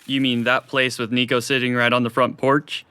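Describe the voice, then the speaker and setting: A man's voice: mid-range voice, level tone, moderate pace, sharp tone, slight background noise, close to an everyday voice. A man playing a sarcastic, teasing teenage boy in an audio drama, bantering with his best friend. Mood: wry, curious. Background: music.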